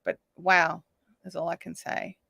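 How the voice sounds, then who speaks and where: A woman hosting a craft livestream, chatting casually and reading out viewer comments while she crochets, her voice slightly raspy. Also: fairly low pitch, little pitch movement, a little slow, fairly bright tone, no echo. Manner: chatty, reads viewer comments aloud, counts stitches under her breath. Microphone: desk microphone.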